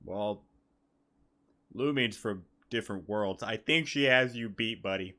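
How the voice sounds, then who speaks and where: A man's voice: fairly low pitch, unhurried, pushed and bright. A young man streaming a game with casual, slangy, sweary commentary and joking asides. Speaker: gaming streamer.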